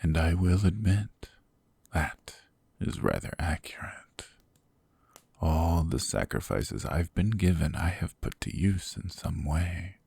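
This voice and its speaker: A man voicing a cold, sadistic, possessive demon king in an ASMR roleplay, talking slowly and mockingly in gravelly whispers close to the mic. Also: deep voice, lively intonation, a little mellow, dry recording, heavily acted. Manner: slow, mocking, condescending. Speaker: voice actor.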